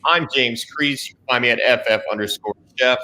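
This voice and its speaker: Male podcast host, bright forward tone, joking easily with guests. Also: mid-range voice, a little fast, slight background noise. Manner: jokey, upbeat introductions. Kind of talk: casual podcast chat.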